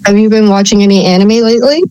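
A woman from Thailand co-hosting a casual podcast over a remote call, chatty and playful, prone to quick side tangents. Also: mid-range voice, a little mellow, clear, resonant tone, no echo. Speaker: podcast co-host. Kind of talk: casual chat.

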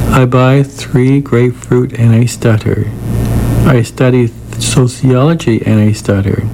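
A man who stutters speaks slowly, with a gravelly, creaky edge to his voice. He hosts a show, sometimes chatting casually and sometimes reading a poem, and often repeats sounds and restarts words. A steady air-conditioning hum runs behind him. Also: medium pitch. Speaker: show host. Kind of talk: casual talk and poetry reading.

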